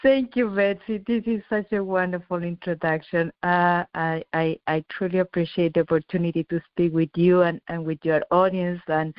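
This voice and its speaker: A woman's voice: on the low side, little pitch movement, moderate pace, hardly any pauses, clean tone, no room sound, lo-fi sound. A middle-aged woman from Chile, an engineer and company founder, speaking as a radio interview guest in an earnest way, restarting often and repeating words.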